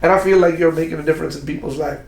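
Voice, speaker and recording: A man's voice: on the high side, steady tone, rapid-fire, creaky. A man from Pakistan hosting a casual interview podcast, often repeating words and restarting his sentences. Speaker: podcast host. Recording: echoey room.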